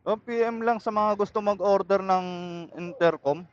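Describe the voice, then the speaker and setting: A Filipino man with a somewhat high-pitched voice talks casually as he vlogs from his motorcycle. His voice comes through a helmet intercom mic, which leaves it dull and lo-fi. The delivery is informal chat, addressed to his viewers. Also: moderate pace, slight background noise. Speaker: motovlogger. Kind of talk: casual vlog chat while riding. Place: outdoors on a motorcycle on the road. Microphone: helmet intercom microphone.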